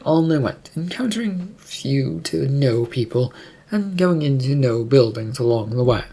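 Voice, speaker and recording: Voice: medium pitch, vocal fry, mellow, breathy edge. A storyteller reading scripted fiction narration aloud.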